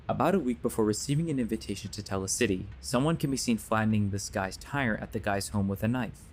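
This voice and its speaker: A man's voice: on the low side, darkish tone, slight background noise, close to an everyday voice. A man playing a grave, earnest believer warning others of a sinister conspiracy in an audio drama. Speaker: voice actor.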